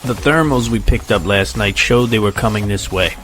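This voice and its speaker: An actor playing a wary, matter-of-fact soldier in an audio drama, speaking in a measured way. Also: male voice, on the low side, fairly bright tone, natural voice. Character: matter-of-fact, wary male military squad member. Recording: faint music underneath.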